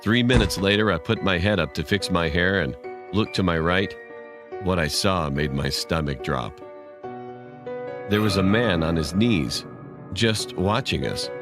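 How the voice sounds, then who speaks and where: A man's voice: deep voice, animated, a little slow. A man reading a scripted horror story as its narrator. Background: music.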